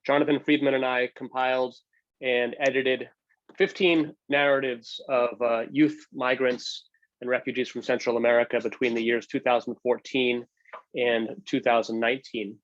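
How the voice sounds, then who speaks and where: Male reader, mid-pitched, for oral history narration in measured phrases; dry, slightly dull recording. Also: flat delivery, no room sound. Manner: measured phrase-by-phrase reading, occasional slips. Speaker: book co-editor reading aloud.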